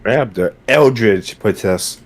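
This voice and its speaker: A man's voice: on the low side, lively intonation, slow pace. A man reading out short command prompts in a group dramatic reading.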